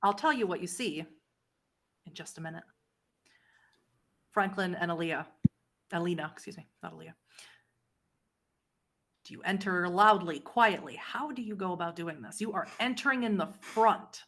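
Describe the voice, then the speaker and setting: A woman speaking as game master, narrating a tabletop horror roleplay game in a measured, descriptive way. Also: on the low side, a bit bright.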